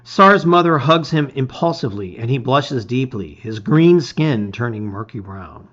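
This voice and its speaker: A man's voice: mid-range voice, expressive, a little slow, fairly bright tone, dull audio. A man reading a gamebook aloud as a dramatic story, with theatrical, emphatic phrasing.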